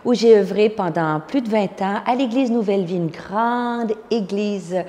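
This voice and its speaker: A woman's voice: medium pitch, measured pace, dark tone, in a large hall. A woman from Quebec in her fifties with a Québécois French accent, a pastor telling her testimony earnestly, with frequent fillers. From Quebec, Canada. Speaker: pastor and speaker. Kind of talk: personal testimony.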